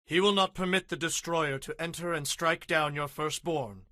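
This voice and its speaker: A man's voice: a little high, normal speed, bright, forceful tone, dry recording. An actor playing an earnest, commanding male leader of an ancient people, giving solemn, emphatic instructions in a dramatized scripture reading. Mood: solemn, instructing.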